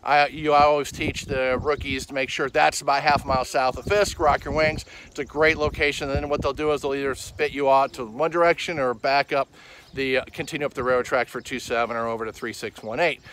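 A man's voice: mid-range voice, steady tone, fairly quick, a bit bright. A middle-aged American man hosting an informal explanatory tour outdoors, talking emphatically into a phone held at arm's length. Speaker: air traffic controller giving a tour as host. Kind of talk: informal explanatory vlog talk. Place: outdoors at an airfield and open grounds. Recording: noisy outdoor airfield with vehicle sounds.

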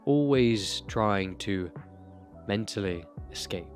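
A man's voice: fairly low pitch, animated, slow, faint music behind. A young man giving earnest, motivational self-improvement advice straight to camera, speaking close into a desk mic in a small bedroom. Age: young adult. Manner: earnest, motivational, direct address. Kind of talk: talking-head advice monologue. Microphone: desk broadcast mic close to mouth.

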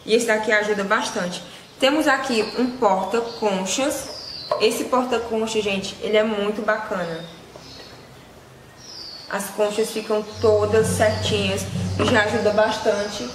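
A woman's voice: medium pitch, steady tone, measured pace. A woman, casually presenting products to camera as a vlogger and seller. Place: small indoor room with shelves. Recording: echoey room with loud background noise.

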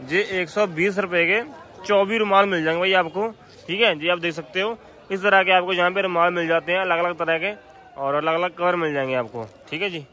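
A man's voice: high voice, fairly even intonation, fairly quick, pushed and bright. A man giving repetitive, sales-like running commentary to viewers as a street market vlogger, asking vendors prices. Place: busy outdoor street market.

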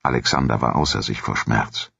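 Very deep, husky male documentary narrator. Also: steady tone, a little fast, bright, forceful tone, no room sound. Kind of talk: scripted historical narration, audio documentary.

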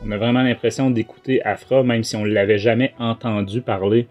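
A man's voice: fairly low pitch, flat delivery, brisk, a little mellow, muffled recording, faint music behind. A man with a Quebec French accent, a podcast host giving a casual review in a hesitant, rambling way. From Quebec, Canada.

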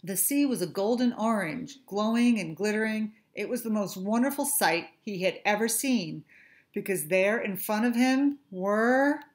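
A middle-aged woman, a teacher, reading a children's story aloud with expressive, deliberate phrasing in a room at home. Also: medium pitch. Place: small furnished room at home.

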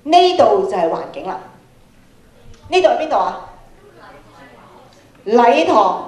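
A woman's voice: fairly high pitch, slow. A middle-aged woman with a Hong Kong Cantonese accent speaks as a workshop presenter. She addresses a student audience through a handheld microphone, often asking questions. Her voice rings with the echo of a large auditorium. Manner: animated questioning, projecting to an audience. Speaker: workshop speaker, radio programme producer. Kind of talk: interactive lecture to students. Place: large school hall, on stage. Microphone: handheld microphone through PA, camera at a distance. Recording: echoey auditorium PA sound.

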